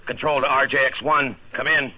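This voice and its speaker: An actor playing a wry but anxious colonel running flight control in a 1950s radio drama, brisk in command. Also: male voice, medium pitch, fairly even intonation, breathy edge, lightly acted. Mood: worried. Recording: noisy, dull 1950 radio drama recording.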